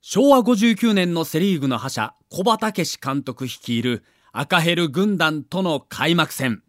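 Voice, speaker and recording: A man's voice: a little high, animated, measured pace, no room sound, clean studio sound. A man narrating a scripted documentary as a story, with dramatic emphasis.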